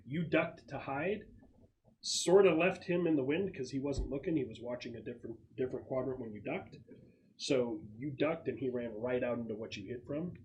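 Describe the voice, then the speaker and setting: A man running a tabletop game, narrating off the cuff in a halting, explanatory, conversational way. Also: medium pitch, a little mellow, dull audio, slight background noise. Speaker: game master. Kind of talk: improvised tabletop game narration and casual chat.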